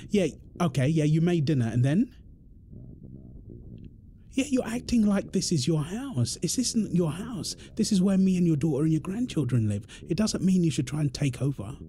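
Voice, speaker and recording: A man's voice: a little high, big pitch swings, moderate pace, warm tone, slight background noise. A British man playing an exasperated, defensive husband in an audio roleplay, arguing in an insistent, repetitive way. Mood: frustrated, accusing. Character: exasperated, defensive husband and father quarrelling indignantly. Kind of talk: dramatic one-sided argument roleplay.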